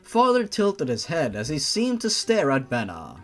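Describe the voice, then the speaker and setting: Animated man reading a story, mockingly. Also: on the high side, measured pace. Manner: exasperated, mocking, swearing asides. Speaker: host reading fan fiction aloud with commentary. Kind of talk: story reading with sarcastic asides. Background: background music.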